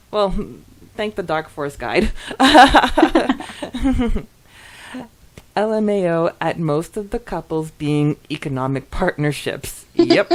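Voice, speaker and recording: A woman co-hosting a podcast in a casual, giggly, expressive way as she reads and reacts to listener comments. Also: medium pitch. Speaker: podcast co-host.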